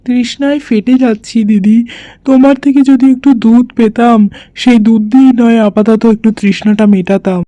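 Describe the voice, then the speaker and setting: A woman's voice: fairly high pitch, steady tone, moderate pace, warm tone, dry recording, close to an everyday voice. A woman voicing a firm, earnest male farmer in a children's cartoon story. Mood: thirsty, pleading. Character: stubborn male farmer who softens into remorse.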